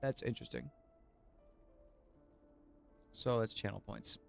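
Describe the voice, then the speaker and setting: A man's voice: medium pitch, fairly even intonation, takes it slowly, vocal fry. A man doing casual, slangy live gameplay commentary, thinking aloud as he streams. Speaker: game streamer. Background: video game music.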